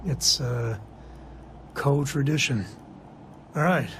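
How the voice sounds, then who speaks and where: An actor playing a guarded, weary space cowboy in a video game, speaking in a casual, folksy, reluctant way. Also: male voice, mid-range voice, slow pace, a bit bright, a little hiss or hum. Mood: reluctant, confiding.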